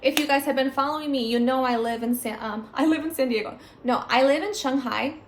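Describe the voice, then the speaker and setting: An American woman talks casually to her viewers with chatty, friendly asides. Her voice sits a little high. A faint noise runs under the home recording. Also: brisk. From United States. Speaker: vlogger. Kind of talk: casual vlog talk to camera. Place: home indoors at night.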